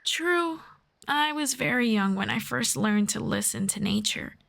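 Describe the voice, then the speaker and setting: A woman playing a gentle, earnest elf friend in a soft-spoken, measured ASMR fantasy roleplay. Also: a little high, lively intonation, creaky, full character voice. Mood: earnest, thoughtful. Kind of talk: slow scripted ASMR roleplay.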